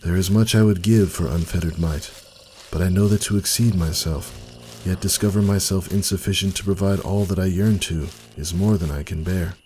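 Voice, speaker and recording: Guy doing an old wise pony stallion, low husky mellow voice, formal n measured, storybook style. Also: fairly even intonation, slight background noise, natural voice, poor audio. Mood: solemn refusal. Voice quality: husky, soft, dark. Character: weary, wise old male pony, gentle and principled.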